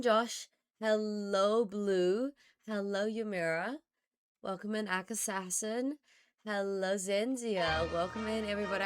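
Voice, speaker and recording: Woman, hoarse, raspy and a bit gravelly, recovering voice, casual live-stream chatter, mid-pitched. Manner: chatty, greets chat by name.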